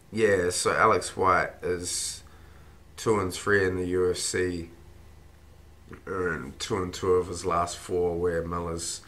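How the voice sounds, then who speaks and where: A man with a croaky, run-down voice, rambling casually through fight picks with plenty of swearing. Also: deep voice, pushed and bright, a little hiss or hum. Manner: rambling, swears freely, thinks aloud with ums. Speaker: fight-pick vlogger. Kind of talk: casual fight predictions monologue.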